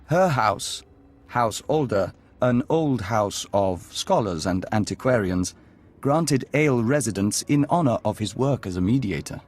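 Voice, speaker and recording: Low-voiced, serene hive envoy. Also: male voice, animated, darkish tone. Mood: calm, reverent. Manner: measured, formal, speaks of himself as we. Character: serene, courteous male envoy of an alien insect hive. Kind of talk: video game voice acting.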